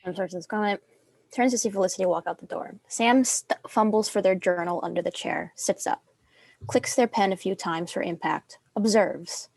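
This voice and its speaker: A woman's voice: medium pitch, moderate pace, gravelly, darkish tone, dry recording. A young adult woman reading scripted stage directions as a narrator.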